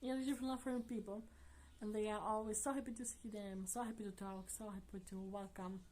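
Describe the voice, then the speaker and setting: A woman talks casually to her viewers in a mid-pitched voice. Her English is earnest and chatty, with non-native phrasing and soft laughs here and there. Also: level tone, a little fast. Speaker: vlogger. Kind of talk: casual chat to camera.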